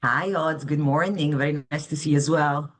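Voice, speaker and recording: A woman's voice: low voice, fairly even intonation, moderate pace. A woman from Italy with an Italian accent, a financial advisor explaining things earnestly and conversationally as an interview guest.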